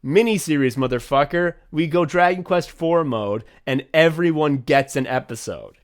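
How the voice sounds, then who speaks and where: A young man co-hosting a podcast in casual chat, rambling and swearing freely, getting excited and laughing as he talks. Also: a little high, normal speed. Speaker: podcast co-host and writer.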